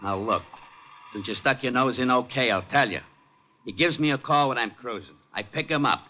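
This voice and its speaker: An actor playing a gruff, cynical taxi driver with brusque, slangy tough talk in a 1950s radio drama. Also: male voice, medium pitch, moderate pace, pushed and bright, lightly acted. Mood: irritated. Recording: noisy, muffled vintage radio recording.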